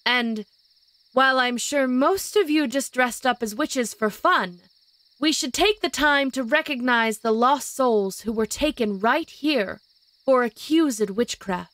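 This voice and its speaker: Woman as a high-voiced, studious young witch. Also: measured pace, fairly bright tone, no echo. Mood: earnest, solemn. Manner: earnest, explanatory. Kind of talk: audio drama acting.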